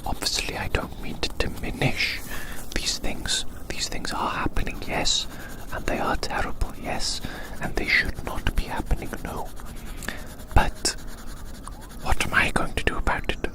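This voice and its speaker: An ASMR performer speaking in a breathy, unvoiced whisper, softly musing to the listener and gently repeating phrases in a roleplay. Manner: hushed, slow repetition of phrases, gently musing. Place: outdoors.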